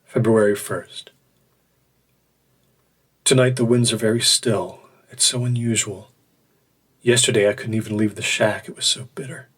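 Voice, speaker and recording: A man's voice: fairly low pitch, fairly even intonation, normal speed, pauses often, fairly bright tone, breathy edge. An actor playing a solitary, uneasy man in a remote shack, speaking terse, contemplative journal entries in an audio drama. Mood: quiet, uneasy.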